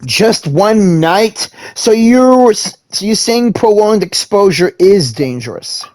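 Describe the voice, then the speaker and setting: A man's voice: high-pitched, lively intonation, slow, darkish tone. A man casually reading game dialogue aloud, slipping in slangy asides.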